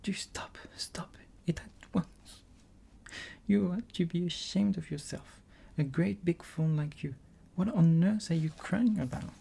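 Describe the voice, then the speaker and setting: A woman's voice: deep voice, animated, moderate pace, breathy edge, pitched above the performer's own voice, heavily acted. A woman voicing a kind, earnest young girl, lifting her voice and often whispering. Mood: distressed. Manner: soft whispery reading of a child's pleas.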